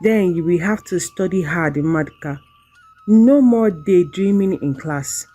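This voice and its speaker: A woman storyteller voicing a kind, devoted young village woman in love, gentle and sincere. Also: fairly low pitch, lively intonation, a little slow, faint music behind. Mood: teasing.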